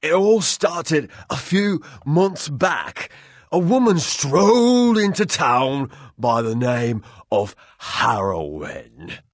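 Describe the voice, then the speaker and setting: An actor playing a drunken, heartbroken townsman in a video game, husky and self-pitying, rambling with slurred hesitations. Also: a little high, very animated, slow, no echo, studio quality, heavily acted. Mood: woeful. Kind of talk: video game character acting.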